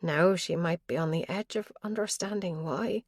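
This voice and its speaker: A woman narrating an audiobook thriller in a steady, measured way. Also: fairly low pitch, no room sound, clean studio sound. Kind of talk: scripted narration.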